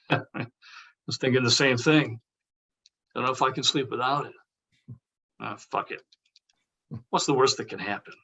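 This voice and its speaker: A man's voice: mid-range voice, moderate pace, long pauses, pushed and bright, breathy edge. A man playing a casual, evasive buddy in a script table read. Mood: uneasy, casual. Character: casual, evasive male buddy with a dark streak.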